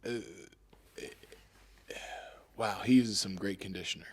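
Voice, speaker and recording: A man's voice: on the low side, fairly even intonation, a little slow, husky. A man from Florida co-hosting a comedy podcast, riffing with wry, playful banter. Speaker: comedian co-host. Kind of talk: casual podcast chat.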